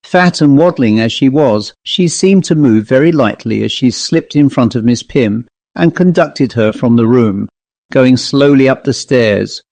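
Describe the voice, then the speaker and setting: A man's voice: medium pitch, a little slow, vocal fry, darkish tone, dry recording. Man narrating a ghost story, dry sound. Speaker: audiobook narrator.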